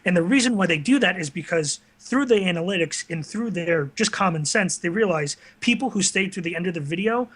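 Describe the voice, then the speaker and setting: A man's voice: high-pitched, expressive, brisk, hardly any pauses, a bit bright. A man hosting a casual talk show, speaking conversationally with an enthusiastic manner.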